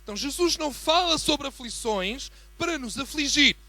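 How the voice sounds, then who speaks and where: A man with a European Portuguese accent preaching as a guest in a church, building from a quiet greeting to fervent emphasis. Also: very high-pitched, animated, moderate pace, no echo. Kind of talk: sermon.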